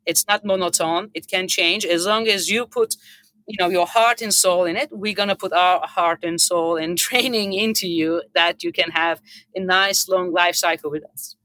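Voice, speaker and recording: Middle-aged Turkish-accented woman, bright and forceful, earnest unscripted panel speaker from the hotel business. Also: on the low side, normal speed. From Turkey.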